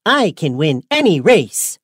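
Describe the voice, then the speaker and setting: Woman voicing a cocky, wildly animated storybook rabbit. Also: sits low, a little slow, husky, no echo, studio quality, voice pushed down, full character voice. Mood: cocky, boastful. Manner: boastful, emphatic, taunting. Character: boastful, cocky, mocking little rabbit. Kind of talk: children's storybook character voice.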